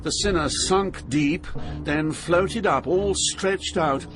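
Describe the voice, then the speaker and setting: An actor playing an awestruck, fearful poet who recounts a journey through Hell in solemn, vivid dramatized narration. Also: male voice, on the high side, slow. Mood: alarmed. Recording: narration over loud music.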